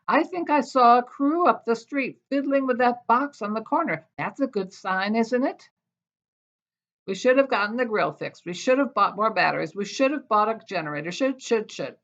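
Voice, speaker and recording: An older woman with an American accent reading her own poetry aloud with expressive, deliberate phrasing. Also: medium pitch. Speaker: poet. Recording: dull, lo-fi sound.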